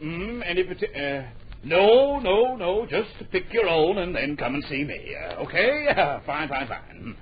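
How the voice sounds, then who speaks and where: An actor in a radio drama playing an absent-minded, blustering book publisher who mutters, dithers and repeats himself. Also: male voice, high-pitched, sing-song, a little slow. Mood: flustered, dismissive. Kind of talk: old radio drama acting. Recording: dull, hissy old radio recording.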